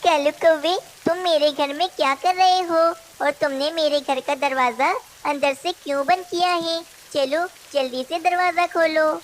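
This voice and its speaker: High-voiced earnest little bird played by a woman. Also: steady tone, moderate pace, few pauses, slight background noise, lightly acted. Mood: puzzled, cross. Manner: earnest, plaintive. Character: small trusting female bird, earnest and easily upset. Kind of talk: Hindi children's cartoon dialogue.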